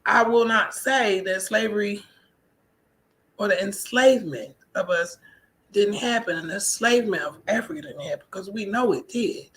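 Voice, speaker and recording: A woman's voice: a little high, pushed and bright, rough recording. An American woman talking casually in a personal vlog monologue, emphatic, colloquial and conversational. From United States. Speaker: vlogger.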